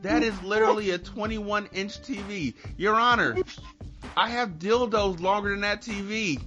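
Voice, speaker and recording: A man's voice: high voice, normal speed, bright, forceful tone, faint music behind. A man playing an exasperated, hysterical woman who pleads her case to police in dramatic, repetitive, profane bursts in a comedy skit. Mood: insistent, exasperated.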